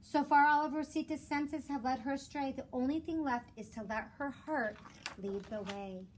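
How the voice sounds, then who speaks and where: A woman who teaches elementary school reads aloud expressively for children in a measured storytelling way. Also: high-pitched. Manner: measured storytelling with rhymed cadence. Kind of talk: expressive children's picture book read-aloud. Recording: clear background noise.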